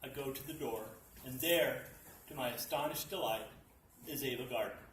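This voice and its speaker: Guy reading a funny story out loud, deadpan, kinda far from the mic, echoey and noisy. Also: mid-range voice, normal speed. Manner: dry, deadpan storytelling, with a few restarts. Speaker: reader at a literacy event. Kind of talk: reading a humorous story aloud. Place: library meeting room at a dinner event. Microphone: distant mic. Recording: echoey room, distant mic, background noise.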